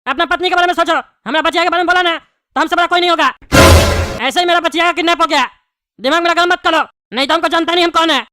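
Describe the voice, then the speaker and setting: Cartoon comedy role: scheming, hot-tempered prisoner dad played in a womanlike voice, Bihari-style Hindi, rapid-fire and high, over clear noise. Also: fairly even intonation. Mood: angry warning. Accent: eastern Indian (Bihari-style) Hindi. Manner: hot-tempered, scolding, bossy, colloquial. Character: angry scheming jailed father, bald cartoon character. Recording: clearly noisy background.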